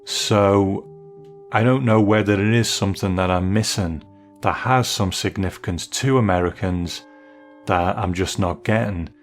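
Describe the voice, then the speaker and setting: A British man with a low voice hosts a podcast over music. He comments casually, in a tentative, musing way. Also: a little slow. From Britain. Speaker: podcast host.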